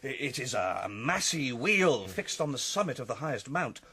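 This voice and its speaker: An actor playing a deferential, earnest courtier in a Shakespearean radio drama, with a husky voice and formal declamation. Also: male voice, mid-range voice, big pitch swings, normal speed, sharp tone, exaggerated voice. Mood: earnest, solemn. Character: deferential, earnest male courtier flattering his king.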